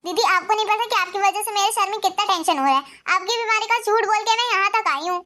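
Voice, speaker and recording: A woman's voice: extremely high pitch, fast talker, pushed and bright. A woman acting an anxious young wife who frets and pleads in a family drama. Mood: upset. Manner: fretful and pleading.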